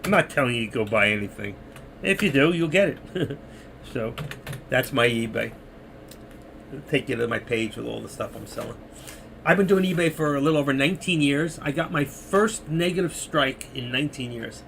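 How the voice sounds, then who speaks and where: A man's voice: mid-range voice, animated, normal speed, gravelly, a bit bright, a little hiss or hum. A middle-aged man moderating a trading live stream, rambling casually to viewers while losing his voice. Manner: rambling, chatty, talking to chat viewers.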